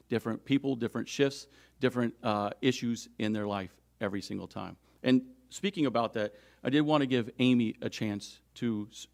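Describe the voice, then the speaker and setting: A man with a creaky, gravelly voice at an average male pitch gives emphatic remarks as a labor leader. His delivery is halting, in the manner of someone answering the press. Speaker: union leader at a press conference.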